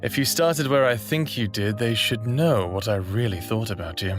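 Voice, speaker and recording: A man's voice: fairly low pitch, moderate pace, lightly acted. A man playing a defiant, sardonic human rebel in his late thirties in an audio drama. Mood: teasing. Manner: sardonic, teasing. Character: defiant, smartass thirty-eight-year-old human male rebel. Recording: music behind dramatic dialogue.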